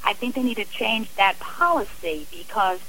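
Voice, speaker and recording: A woman's voice: mid-range voice, animated, normal speed, sharp tone, a little hiss or hum, poor audio. A woman speaking earnestly and emphatically as a guest on a radio interview.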